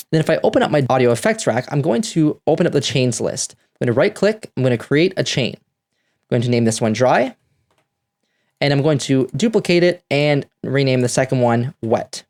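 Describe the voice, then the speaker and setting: A man's voice: medium pitch, lively intonation, moderate pace, long pauses, darkish tone. A man hosting a music production tutorial, talking through the steps in a casual, instructional way.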